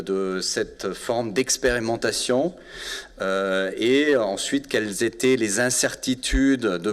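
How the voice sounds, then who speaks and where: A man with a Bavarian German accent, a planning professor opening a public lecture in a hesitant way, with many filler sounds. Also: fairly low pitch. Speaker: urban planning professor, event moderator.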